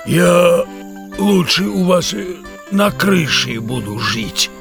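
Slow, gravelly lumbering bear. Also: male voice, high voice, sing-song, a bit bright, faint music behind, heavily acted. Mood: clumsy, earnest. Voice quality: gravelly, growling. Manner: slow, roaring, drawn-out. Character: big clumsy lumbering male bear, simple-hearted. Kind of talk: children's musical audio fairy tale acting.

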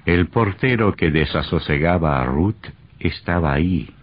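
Very deep-voiced radio mystery narrator. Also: male voice, lively intonation, unhurried. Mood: grave, suspenseful. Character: grave storyteller of a sinister radio mystery. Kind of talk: dramatic scripted radio narration. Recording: dull old radio recording. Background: music.

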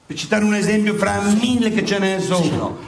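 A man's voice: high voice, animated, moderate pace, a little hiss or hum. A man playing a coarse, mocking dead soul in a stage comedy, blunt, exclamatory and repeating himself indignantly. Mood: conspiratorial grumbling. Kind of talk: broad comic theatre dialogue. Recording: roomy distant stage recording with music.

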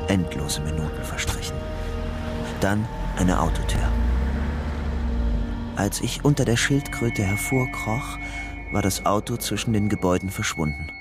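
Troubled young fugitive, low voice, brooding crime radio drama narrator, intimate delivery, music underneath. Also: male voice. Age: young adult. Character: young man on the run, troubled, haunted narrator.

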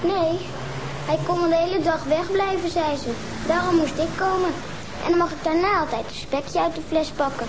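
Small pleading girl, very high voice, noisy background. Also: fairly even intonation, moderate pace. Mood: timid. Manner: timid, pleading. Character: young girl caught sneaking sweets. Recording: TV sound with background noise.

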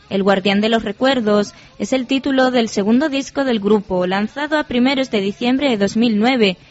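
A woman presenting a radio programme, reading steadily from a script. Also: fairly high pitch. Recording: dull, muffled radio recording.

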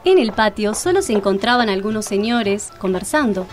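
A woman's voice: medium pitch, very animated, normal speed. A woman reading scripted narration for a radio drama. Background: music.

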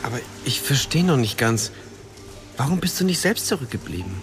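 Casting: doubting young son and unwilling hero, fantasy radio play, mid-range voice, over clear music. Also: male voice, mid-range voice, sing-song, fairly bright tone. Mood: incredulous. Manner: incredulous, quick questioning. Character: young reluctant hero, baffled questioning son. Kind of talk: fantasy radio drama acting. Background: background music.

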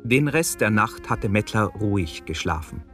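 A man's voice: lowish voice, lively intonation, normal speed, a bit bright, soft background music. A man reading scripted narration for a radio drama as its storyteller.

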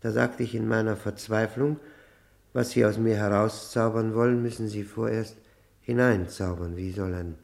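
Long-term prisoner, radio drama: lowish voice, faltering, word-repeating, worn down and despairing. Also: male voice, steady tone, gravelly, a little mellow. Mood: weary, bitter. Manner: halting, repeating words, faltering.

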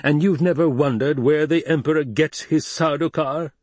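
Man voicing a stern, snappish old adviser, raised pitch. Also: steady tone, normal speed, darkish tone, dry recording, lightly acted. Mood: probing, accusing. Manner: incisive, probing, snappish. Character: bony old male adviser, shrewd, stern, contemptuous. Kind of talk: dramatized audiobook reading.

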